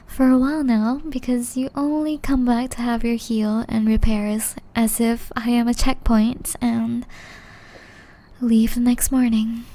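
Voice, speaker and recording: A woman acts out a gentle, ageless witch exiled to the forest, caring and wistful. Her voice sits fairly high yet stays soft, dark-toned and breathy, often slipping into a whisper. She takes her time, pleading tenderly in an intimate ASMR roleplay style. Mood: concerned, pleading. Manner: slow, tender, earnest pleading.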